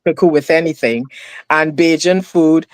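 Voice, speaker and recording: A woman from Barbados, living in the US for decades, chatting as a guest on a video call and talking with many fillers and pauses. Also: low voice, no echo. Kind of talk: casual video call chat. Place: home in the US.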